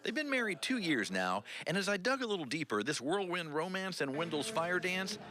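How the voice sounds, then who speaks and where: A man's voice: sits high, big pitch swings, brisk, crowd noise. An American man presenting an upbeat local TV news feature in a playful, folksy style.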